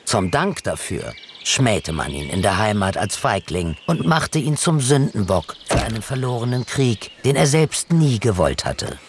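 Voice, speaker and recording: A man's voice: lowish voice, sing-song, a little slow, background noise. An actor playing a reverent court chronicler who glorifies his emperor in solemn, florid radio drama narration. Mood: indignant, solemn.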